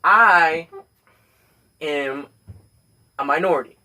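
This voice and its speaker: A man's voice: a little high, takes it slowly, pushed and bright. A tired Puerto Rican man who hasn't slept, a podcast guest who rambles and keeps restarting his points. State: tired from no sleep. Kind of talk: heated casual argument. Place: host's home.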